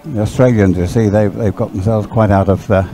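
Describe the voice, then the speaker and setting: A man's voice: on the low side, fairly even intonation, fairly quick, mellow, faint music behind. A man giving chatty, unscripted live sports commentary, often hesitant and thinking aloud as he goes.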